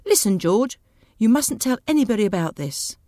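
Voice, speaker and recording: An impatient, domineering wife from an audio storybook, acted out by a woman reader. She scolds in emphatic, scornful phrases, with lively swoops of pitch. The recording is dry and close. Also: normal speed, dry recording. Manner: scolding, sharp-tongued, emphatic. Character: bossy, impatient, scornful wife of a timid giant.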